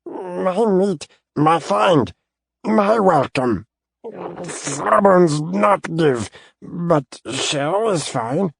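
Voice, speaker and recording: A man voicing a tiny timid male kobold who talks slowly in halting, broken grammar. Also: sits high, expressive, gravelly, slightly rough, no echo, clean studio sound, voice pushed up, heavily acted. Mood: pleading. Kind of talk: audiobook character voice.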